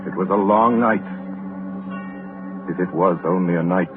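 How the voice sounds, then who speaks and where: An actor narrating a 1930s radio drama as a grave, portentous storyteller with measured, theatrical phrasing. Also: male voice, low voice. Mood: solemn. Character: solemn, portentous male storyteller. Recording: tinny 1930s radio recording with music.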